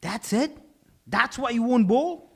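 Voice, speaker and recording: A man's voice: extremely high pitch, lively intonation, slow, creaky, a bit bright, breathy edge, full character voice. A man playing a smug, mocking, sexist rival in a live comedy table read, with a taunting, sneering delivery. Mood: exasperated.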